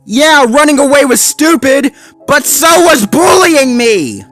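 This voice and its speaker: A man voicing a clumsy young male dalmatian pup who shouts in hurt and anger at his friends. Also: high voice, measured pace, faint music behind, pitched above the performer's own voice. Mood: furious shouting. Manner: shouting through tears, then choked and pleading.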